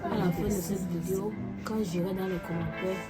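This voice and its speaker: Congested woman vlogger, talking casually over clear background music. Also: mid-range voice, steady tone, a little fast. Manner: chatty, repeatedly addresses viewers as family. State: congested with a cold and cough. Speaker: vlogger mother. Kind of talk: casual family vlog talk.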